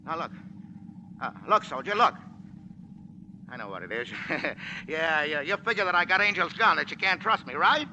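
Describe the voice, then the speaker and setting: An actor playing a cornered, menacing gangster boss who blusters and wheedles in a 1940s radio drama. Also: male voice, on the high side, animated, highs cut off. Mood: wheedling, desperate. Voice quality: gravelly. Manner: shouting, fast, wheedling bluster. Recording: noisy vintage 1940s radio broadcast.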